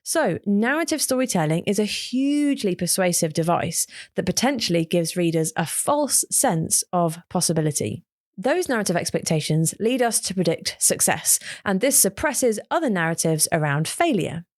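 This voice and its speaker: Animated woman narrating, dry close sound. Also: lowish voice, normal speed, no room sound. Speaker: podcast host and narrator. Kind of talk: scripted narration.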